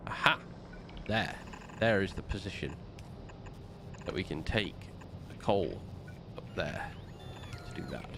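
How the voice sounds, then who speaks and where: A man's voice: sits low, animated, creaky, noisy background. A man streaming a game, talking through his building plans, snuffly from a slight cold. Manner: slow, muttering to himself while building. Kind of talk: casual gameplay commentary.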